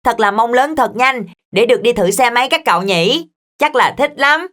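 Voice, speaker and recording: A curious cartoon youngster, played by a woman, speaking politely in a fairly high voice. The delivery suits a children's animated show. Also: moderate pace, heavy background noise, close to an everyday voice. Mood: wistful.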